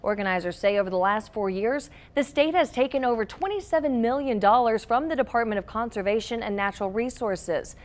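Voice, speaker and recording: A woman's voice: fairly high pitch, expressive, a little fast, hardly any pauses. Woman news anchor reading scripted stories.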